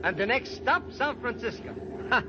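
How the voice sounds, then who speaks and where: An actor playing a nervy, greedy comic sidekick in vintage radio drama, chatty, excitable and wheedling. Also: male voice, very high voice, normal speed. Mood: boastful. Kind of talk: 1940s radio acting. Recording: dull, noisy old radio recording.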